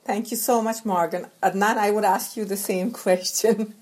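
A woman's voice: mid-range voice, fairly even intonation, moderate pace, darkish tone. A woman moderating a panel, putting questions in a polite, somewhat formal way. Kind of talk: moderated discussion, posing questions.

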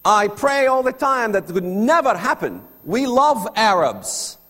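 A man's voice: sits high, sing-song, a little slow, sharp tone, in a large hall, pitched above the performer's own voice, exaggerated voice. A man voicing a sad, tearful middle-aged woman who pleads earnestly. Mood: pleading.

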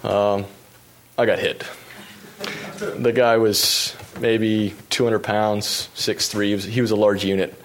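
A young man from the United States recounts his cycling races in an easygoing talk, his voice sitting a little low. He fills the pauses with ums and uhs and chuckles at his own misfortunes. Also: slight background noise. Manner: relaxed, lots of fillers, laughs at himself. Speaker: pro cyclist giving a talk. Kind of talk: storytelling presentation with slides.

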